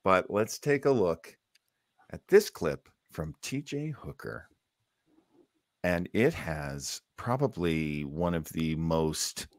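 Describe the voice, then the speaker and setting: A man's voice: low-pitched, very animated, slow pace, no echo, clean studio sound. A middle-aged man hosting a show on a video call, reading quotes aloud and introducing clips. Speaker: podcast host. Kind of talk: casual chat on a video call. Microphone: headset and studio mic.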